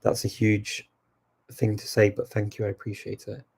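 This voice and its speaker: A man hosting a casual live Q&A, answering viewer questions with relaxed, reflective advice. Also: lowish voice, normal speed, creaky, husky.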